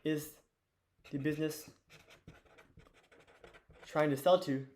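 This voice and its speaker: A teenage boy with a hoarse, fading voice, teaching an investing lesson at a whiteboard in a loose, chatty way with lots of fillers and restarts. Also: a little high, fairly even intonation, takes it slowly, darkish tone. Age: teens. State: hoarse, losing his voice. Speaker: student teacher of an investing course.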